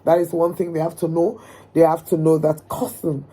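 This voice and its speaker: A woman from Sierra Leone with a hoarse voice, speaking earnestly and emphatically about politics, with frequent ums. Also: sits low, level tone, moderate pace, mellow. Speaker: political commentator. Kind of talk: direct-to-camera opinion talk.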